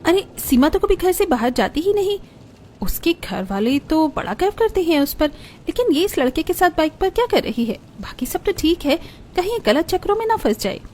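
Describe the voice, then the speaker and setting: A woman's voice: very high-pitched, very animated, normal speed, darkish tone, a little hiss or hum. A woman voicing chatty young women in a cartoon family story. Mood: concerned.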